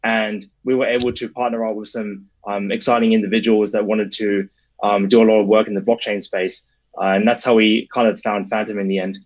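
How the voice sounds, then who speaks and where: A man's voice: fairly low pitch, level tone, fairly quick. Young aussie guy answering interview questions, casual, kinda dull lo-fi sound. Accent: Australian. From Australia. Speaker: interview guest, startup adviser.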